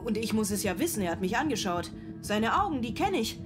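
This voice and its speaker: Stressed strict mum in a radio play, curt and clipped, music loud behind her. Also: a little high, sing-song, brisk. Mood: tense, insistent. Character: harried, strict single mother of a small boy. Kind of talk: radio drama monologue.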